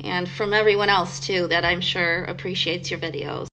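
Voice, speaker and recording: A woman's voice: fairly low pitch, steady tone, moderate pace, sharp tone, slight background noise, rough recording. A woman hosting a live stream interview, friendly and addressing her chat.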